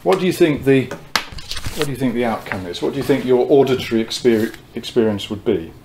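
A man in his sixties presents an informal technical talk at a medium pitch. He explains step by step in a measured manner, often pausing mid-phrase. Faint noise and room ambience sit behind his voice. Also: a little mellow, low-quality recording. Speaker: presenter of technical talks on loudspeakers. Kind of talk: informal whiteboard lecture, reading quotes from notes. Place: indoor room at a whiteboard.

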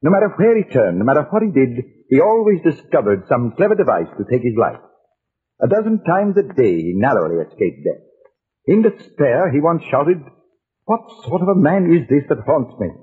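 A man's voice: fairly high pitch, very animated, moderate pace, slightly rough. A man narrating in a formal, theatrical old-time radio style, as the presenter of a series. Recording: narrow-band vintage radio recording.

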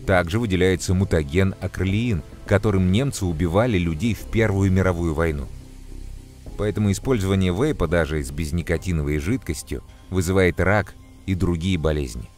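A man voicing a calm adult scientist who explains things to children in a measured, earnest way. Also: low-pitched, faint music behind, close to an everyday voice. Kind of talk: scripted educational narration.

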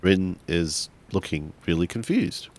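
Really low voiced guy narrating his rpg character, stop-start, repeats himself. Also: animated. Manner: halting, repeats words as he improvises. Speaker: tabletop game player. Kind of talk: improvised third-person roleplay narration.

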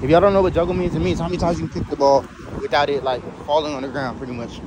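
A young man hosting his own vlog outdoors on a city pier, talking in excitable, slangy casual chat and laughing often. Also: fairly high pitch, rapid-fire. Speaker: vlogger host. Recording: noisy outdoor city street.

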